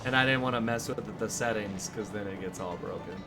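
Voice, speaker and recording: A man's voice: fairly low pitch, fairly quick, music in the background. A man hosting a game stream, chatting in a laid-back, jokey way. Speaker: streamer host. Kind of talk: casual chat.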